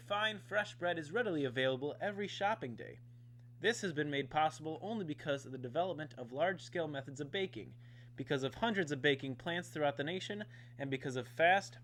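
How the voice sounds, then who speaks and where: A man's voice: fairly high pitch, animated, fairly quick, a bit bright, a little hiss or hum. An American man announcing in a polished, emphatic 1950s radio style, as if presenting a show and its sponsor.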